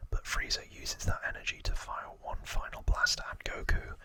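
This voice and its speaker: A man speaking in a breathy, gentle whisper, hosting an ASMR trivia explainer close to the microphone. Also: no echo. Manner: slow, gentle, hushed delivery. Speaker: ASMR video creator and host.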